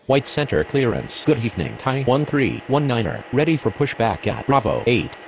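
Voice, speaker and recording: Man playing formal sim-game aviation radio operator; gravelly, measured, slight noise behind. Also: mid-range voice, sing-song. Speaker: pilot and air traffic controller radio voice. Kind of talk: scripted aviation radio phraseology.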